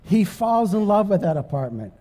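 A middle-aged man preaches from the stage of a church sanctuary, his voice high and mellow. He moves between chatty storytelling and forceful, emphatic preaching. The room gives his voice a long hall reverb. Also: normal speed. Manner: animated, conversational, rises to loud emphatic preaching. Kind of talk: storytelling sermon.

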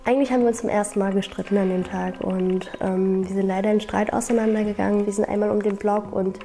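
A young woman recalls something personal in an interview. Her mid-pitched voice is mellow and very even, with little movement in pitch. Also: fast talker, faint music behind.

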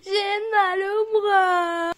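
A tearful little girl wails and whimpers over a sore arm in a home-made skit, as a woman puts her on. Her voice is very high and she drags out every word slowly between sobs. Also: little pitch movement, fairly bright tone, no echo, full character voice. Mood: crying. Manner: whimpering, crying out in pain. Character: young girl hurt from a fall, tearful.

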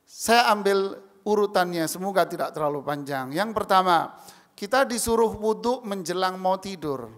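Guy preaching at friday prayers, kinda high voice, chants arabic slow, big echoey mosque. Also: lively intonation, fairly bright tone. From Indonesia. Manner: rising emphatic calls to the congregation, chanting Arabic slowly. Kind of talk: Friday sermon. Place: large mosque hall, indoors. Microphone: stand mic at a pulpit. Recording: long mosque hall reverb.

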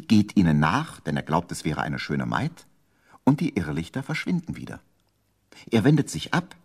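A man's voice: low-pitched, a little mellow, husky, full character voice. A man narrating a children's radio play in a playful, dramatic storytelling manner. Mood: suspenseful.

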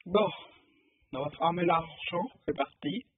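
A man's voice: high-pitched, unhurried. A man giving casual gameplay commentary as a video host, in sparse, offhand remarks to himself. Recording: muffled phone-like sound, clear noise, clicks.

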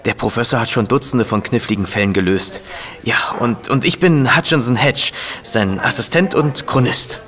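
An actor playing an eager, bashful detective's assistant in a radio play, hesitant and easily flustered. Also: male voice, mid-range voice, normal speed, fairly bright tone, close to an everyday voice. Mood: friendly, proud. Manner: hesitant, stammering. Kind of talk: German radio play acting.

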